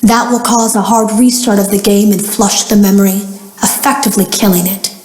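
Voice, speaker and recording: A woman's voice: mid-range voice, fairly even intonation, a little fast, darkish tone, close to an everyday voice. Echoey, grave female developer voiced by an actress. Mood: urgent warning. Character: anxious female game developer leaving secret warning logs. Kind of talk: recorded log monologue, dramatic game voice acting.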